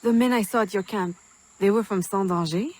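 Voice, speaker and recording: A woman's voice: mid-range voice, fairly quick, a little mellow, lightly acted. An actress playing a determined, cool-headed woman assassin in a video game, composed and formal. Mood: focused. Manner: composed, terse, formal diction.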